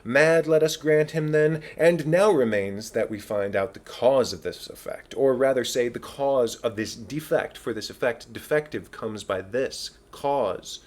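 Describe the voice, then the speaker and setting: A man's voice: medium pitch, unhurried, hardly any pauses, close to an everyday voice. A man playing a long-winded, self-important old counsellor in a dramatic Shakespeare reading, pompous and rhetorical. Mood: officious, declaiming. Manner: pompous, rambling, rhetorical, digressing.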